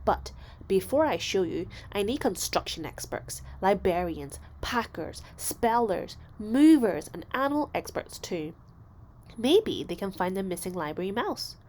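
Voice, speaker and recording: A kind schoolteacher from a children's storybook, read by a woman. She is patient and encouraging, reassuring in a deliberate, gentle way, with widely varied intonation. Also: mid-range voice, very animated.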